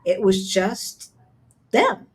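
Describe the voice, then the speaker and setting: A woman's voice: mid-range voice, sing-song, extremely slow. A woman from Southern California hosting a casual interview, chatty and agreeable, often checking in with "right?". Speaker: host.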